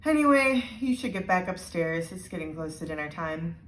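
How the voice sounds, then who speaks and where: A woman voicing a grave old island handyman telling a storybook tale in a measured way. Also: fairly low pitch, expressive, far from the mic, natural voice. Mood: wistful, dismissive. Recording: roomy, echoing indoor sound.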